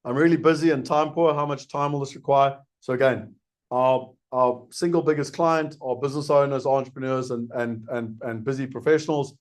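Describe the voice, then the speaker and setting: Persuasive South African man pitching. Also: fairly even intonation, brisk. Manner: earnest, persuasive, asks and answers his own questions. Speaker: investment program host. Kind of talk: live webinar sales pitch.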